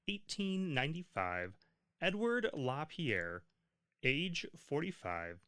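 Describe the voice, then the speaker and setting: A man reading a scripted history narration in a measured, deliberate storytelling manner. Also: fairly high pitch, fairly bright tone. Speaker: narrator of a history tour stop.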